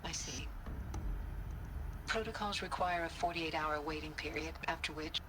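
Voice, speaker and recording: A woman voicing a calm, formal counsellor in a video game, measured, polite and official. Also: low-pitched, close to an everyday voice. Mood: polite, detached. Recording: clear background noise, vehicle-like hum.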